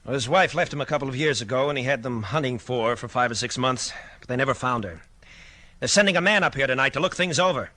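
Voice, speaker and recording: An actor playing an irritable, accusing district attorney with a testy, insistent manner, in 1940s radio crime drama style. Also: male voice, medium pitch, quick pace, bright, forceful tone, lightly acted.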